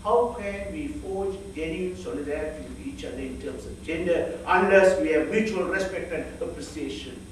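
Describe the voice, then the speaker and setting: A man from northern Sri Lanka giving an earnest sermon, measured and full of rhetorical questions. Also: fairly high pitch, darkish tone, a little hiss or hum. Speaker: speaker at a church fellowship. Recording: echoey hall, voice from far off.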